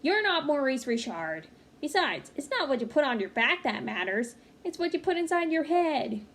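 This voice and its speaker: A woman's voice: high voice, big pitch swings, moderate pace, fairly bright tone, voice pushed up, full character voice. A woman voicing a proud, practical mother in a storybook, speaking in a firm, matter-of-fact way. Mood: firm, reasoning.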